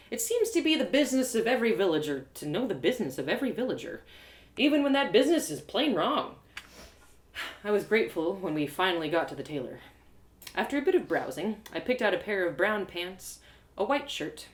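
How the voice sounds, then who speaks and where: A woman performing an animated, expressive first-person fantasy story as a dramatic narrator. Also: fairly low pitch. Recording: roomy home live reading.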